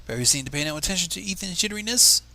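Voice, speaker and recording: Bright-voiced man reading fan fiction, lively intonation. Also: high voice, very fast. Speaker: fan fiction reader on a livestream. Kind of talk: story reading with asides.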